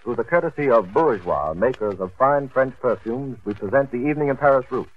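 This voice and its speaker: A man's voice: medium pitch, animated, brisk, no echo. A man formally introducing a sponsored 1930s radio program on a dull old recording. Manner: formal announcing. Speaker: radio announcer.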